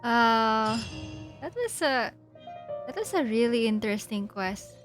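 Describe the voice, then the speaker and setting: A woman's voice: on the high side, animated, unhurried, music in the background. A young woman giving casual live reactions as a game streamer.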